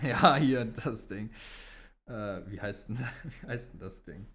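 A man's voice: on the low side, animated, slow, a bit bright. A young man chatting casually as a podcast co-host, with slangy, sometimes crude wording. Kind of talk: casual chat about Formula One.

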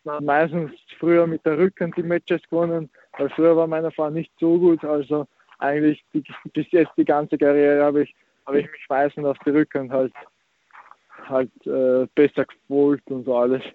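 Teen male athlete from Austria, monotone, halting answers, dry phone-line sound. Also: fairly high pitch, dry recording. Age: teens. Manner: hesitant, repeats filler words. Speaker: young tennis player. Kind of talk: interview answers.

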